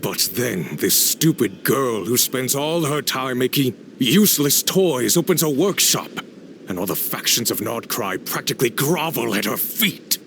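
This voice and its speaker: A man's voice: mid-range voice, lively intonation, a little slow, gravelly, slight background noise. A man acting a bitter, arrogant and greedy villain boss in a video game, ranting with indignation. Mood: bitter, resentful. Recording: loud game music behind the voice.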